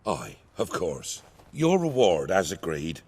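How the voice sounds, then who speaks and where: A man playing a plain-spoken rustic villager in a video game, gruffly courteous in his speech. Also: sits low, huge pitch swings, slow, a little mellow, exaggerated voice. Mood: wary, then grateful.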